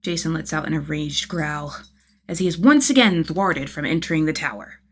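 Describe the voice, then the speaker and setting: A woman narrates fiction in an expressive, storytelling style. Her voice sits a little low for a woman and carries a slight husky grain. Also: normal speed.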